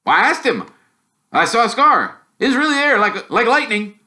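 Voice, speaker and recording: A man voicing cheeky, excitable teenage twin boys in a storybook, loud, eager and joking. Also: extremely high pitch, moderate pace, voice pushed up, full character voice. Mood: excited.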